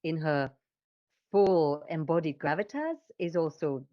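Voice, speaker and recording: A woman explaining things conversationally as a voice coach, with an expressive, emphatic way of talking. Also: sits low, measured pace, no room sound, muffled recording.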